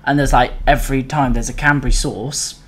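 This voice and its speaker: A man's voice: mid-range voice, fairly quick. A young man answering interview questions casually, hesitant, with many fillers and restarts. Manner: hesitant, many fillers and restarts, animated when telling stories. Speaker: guest.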